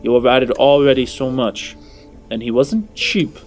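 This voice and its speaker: Tabletop game role: businesslike male mercenary voiced by a man, dry and unhurried, light music underneath. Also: medium pitch, close to an everyday voice. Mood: sardonic. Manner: sardonic, businesslike.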